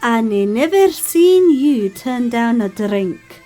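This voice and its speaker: A woman's voice: fairly high pitch, lively intonation, takes it slowly, warm tone, faint music behind. A woman playing a friendly, folksy tavern server who likes to tease, in an amateur audio drama. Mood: teasing, friendly. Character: friendly teasing tavern woman serving drinks.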